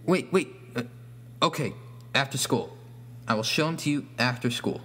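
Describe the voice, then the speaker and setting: A man's voice: mid-range voice, moderate pace, a bit bright, breathy edge, voice pushed up. Man acting a lonely, earnest young schoolboy. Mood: nervous.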